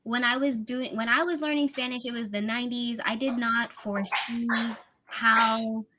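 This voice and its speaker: A woman's voice: on the high side, steady tone, normal speed, pushed and bright. A woman tutoring over a video call in a casual, chatty way, laughing often and filling with "like" and "you know". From Miami, USA. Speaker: English tutor.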